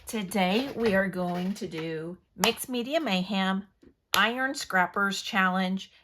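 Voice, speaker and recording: A middle-aged woman with a hoarse voice, casually narrating a scrapbooking tutorial at her craft table in a chatty, rambling way that often corrects itself. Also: mid-range voice, slow pace, noisy background. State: hoarse from yelling at a game. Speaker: scrapbooking channel host.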